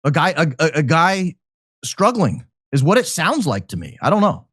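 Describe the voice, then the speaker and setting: A man speaking as a podcast guest, giving speculative commentary in an emphatic, deliberate way. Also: a little high, wildly swooping pitch, a bit bright, no echo, clean studio sound. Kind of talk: speculative commentary in a talk show.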